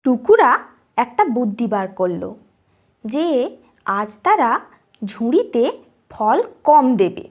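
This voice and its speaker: A woman narrates a children's tale in a high voice. She takes it slowly, reading as a scripted storyteller for a cartoon. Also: big pitch swings, darkish tone.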